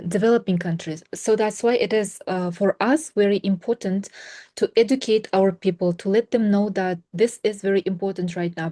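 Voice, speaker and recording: A woman from Kazakhstan, a seminar panelist on a video call, answering a question earnestly and pausing often to find her words. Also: mid-range voice, warm tone. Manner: earnest, searching for words with many fillers.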